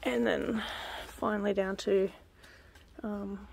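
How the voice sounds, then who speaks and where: A young woman talks slowly and loosely into a handheld phone, filling gaps with ums. Her voice carries a gravelly fry. A faint hiss of outdoor noise sits behind her. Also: mid-range voice. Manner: relaxed, rambling, many ums, drops to a whisper up close. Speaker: vlogger. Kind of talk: casual gardening vlog. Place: backyard outdoors. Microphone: phone held close.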